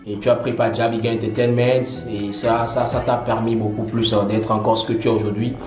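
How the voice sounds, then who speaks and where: A man from Benin, hosts a casual TV interview. He rambles, stacking fillers and repeated words. His voice echoes in a roomy studio over clearly audible music. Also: lowish voice, flat delivery, brisk, a little mellow. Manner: rambling, many fillers and stutters. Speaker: TV host.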